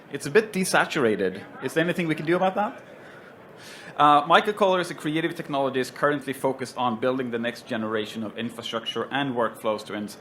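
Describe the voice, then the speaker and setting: A man from Sweden with a Swedish accent, moderating a trade show panel in a relaxed, joking way with lots of ums and uhs. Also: mid-range voice, a little hiss or hum. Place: trade show stage with stands around.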